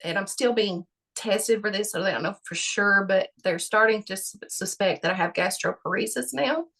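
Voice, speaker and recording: A woman with a gravelly voice answering interview questions about her health, halting and full of fillers. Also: medium pitch, fairly bright tone. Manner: halting, many fillers and restarts. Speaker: patient guest.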